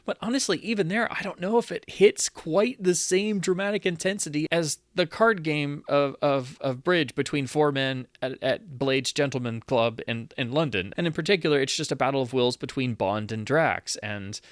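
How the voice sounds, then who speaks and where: A man giving an unscripted, analytical book review, speaking thoughtfully and haltingly as he works through his points. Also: mid-range voice, no echo, studio quality. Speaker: book reviewer, channel host.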